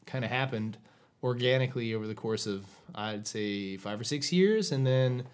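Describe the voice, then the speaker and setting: A man from North Carolina, a fiddler and lead singer, telling in a relaxed, rambling way how his band formed. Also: mid-range voice, normal speed. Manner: relaxed, storytelling, a bit rambling. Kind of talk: casual interview answers.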